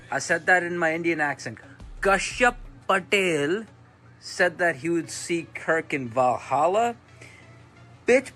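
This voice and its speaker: Male comic ranter, high and lively, indignant and profane. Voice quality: indignant, cursing.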